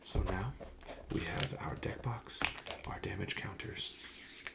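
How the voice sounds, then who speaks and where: A man speaking softly and breathily, often near a whisper, in a gentle, polite ASMR shopkeeper roleplay. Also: low voice, takes it slowly, gravelly. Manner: gentle, attentive, polite salesman patter. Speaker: ASMR roleplayer playing a card shop clerk. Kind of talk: soft-spoken ASMR shop roleplay.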